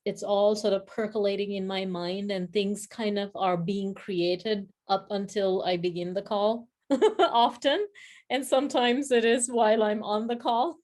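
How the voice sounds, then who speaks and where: A woman's voice: medium pitch, a little mellow. A woman from Sri Lanka, a practice leader guest, speaking thoughtfully on a video call, searching for words and laughing easily. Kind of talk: reflective casual conversation.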